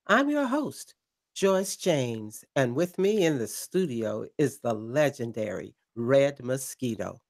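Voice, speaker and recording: A theatrical late-night pirate radio host, slow and deliberate, her pitch rising and falling dramatically, as a woman plays her. She savors each phrase and leans into the eerie parts of her story. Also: very animated, darkish tone, exaggerated voice. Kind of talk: audio drama acting.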